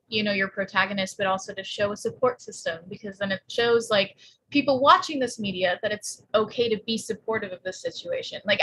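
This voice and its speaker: A woman's voice: mid-range voice, bright, forceful tone, rough recording. A woman in a casual discussion, explaining her point in an earnest, supportive way.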